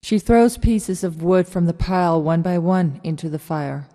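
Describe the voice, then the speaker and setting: A woman's voice: fairly low pitch, normal speed, mellow, lightly acted. A woman narrating stage directions for a radio drama in a scripted style. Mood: neutral.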